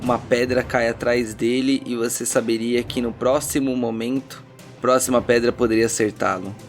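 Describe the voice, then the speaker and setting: A man's voice: mid-range voice, steady tone, moderate pace. A man working as game master, narrating a tabletop fantasy adventure in a dramatic storytelling style. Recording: background music under the voice.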